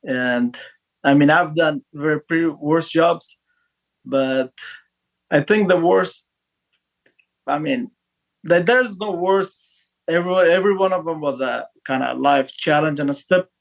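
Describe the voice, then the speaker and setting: A man in his thirties who grew up in Afghanistan, his voice sitting a little higher than most men's. He speaks haltingly, padding his answers with fillers and stopping to restart his sentences. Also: creaky, rough recording. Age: 30s. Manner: hesitant, many fillers and restarts. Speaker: guest. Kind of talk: casual interview talk.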